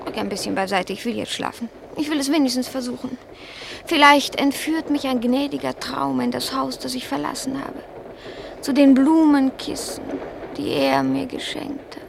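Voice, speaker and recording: A woman's voice: high-pitched, moderate pace, vocal fry, background noise. A woman voicing a haughty, grumbling, melancholy pet cat in a theatrical, self-dramatizing radio play manner. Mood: wistful, melancholy.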